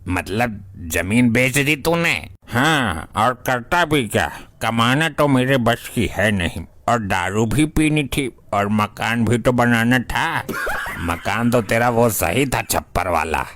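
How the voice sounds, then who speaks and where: A man's voice: medium pitch, lively intonation, slow, fairly bright tone, dry recording. A man voicing a shameless, boozy village layabout with colloquial, blustering banter in a comedy cartoon. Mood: idle banter. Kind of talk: Hindi comedy cartoon dialogue. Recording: clearly noisy background.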